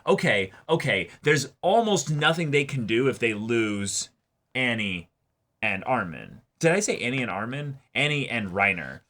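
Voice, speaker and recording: Animated, bright-voiced man reacting excitedly. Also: medium pitch, measured pace. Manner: excitable, emphatic, swears casually. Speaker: co-host of an anime reaction show. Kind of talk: casual reaction commentary.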